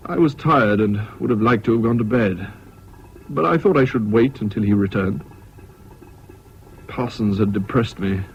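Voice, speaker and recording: An actor with a British accent playing a weary, reserved narrator in a 1970s radio drama, speaking in a measured, reflective way. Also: male voice, medium pitch, natural voice. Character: weary, concerned friend and narrator, a reserved man. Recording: dull, noisy old radio recording.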